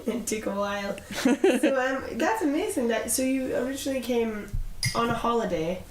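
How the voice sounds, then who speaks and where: A young woman hosts a podcast conversation, friendly and often laughing. Her voice sits a little high, and the room lends it a hollow echo. A faint hiss runs underneath. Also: animated, normal speed. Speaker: podcast host. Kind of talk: casual interview chat. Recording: roomy sound with faint hiss.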